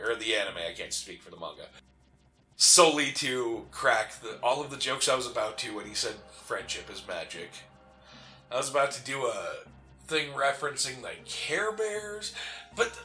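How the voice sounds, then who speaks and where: Guy chatting over a video game, bright voice, kinda halting n digressive, roomy echo w music behind. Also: lowish voice. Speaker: co-host of a gaming show. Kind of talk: casual chat during video game commentary.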